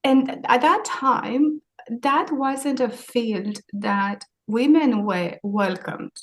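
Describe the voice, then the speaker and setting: A woman's voice: on the high side, extremely slow. A woman in her forties with a Romanian accent, answering interview questions in a reflective, hesitant way. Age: 40s. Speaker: interview guest, maritime sustainability leader.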